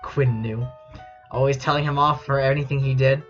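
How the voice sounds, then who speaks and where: A man's voice: medium pitch, fairly even intonation, moderate pace. A man streaming casually and reading fan fiction aloud in a mock-dramatic, amused and incredulous way. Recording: dull lo-fi mic with music behind. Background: background music.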